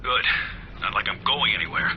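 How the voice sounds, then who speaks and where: A man's voice: medium pitch, normal speed, a little hiss or hum, lightly acted. A man playing a dry, impatient doctor in a video game, speaking over a radio. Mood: wry, tense. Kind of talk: video game cutscene acting. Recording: dull radio link, music behind.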